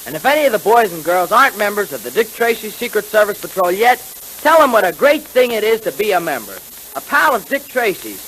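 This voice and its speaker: Earnest, high-voiced boy sidekick. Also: sing-song, brisk, fairly bright tone, poor audio. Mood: eager, chirpy. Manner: earnest, emphatic. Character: earnest, law-abiding boy sidekick standing his ground. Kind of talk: 1930s radio adventure acting. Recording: hissy 1930s radio recording.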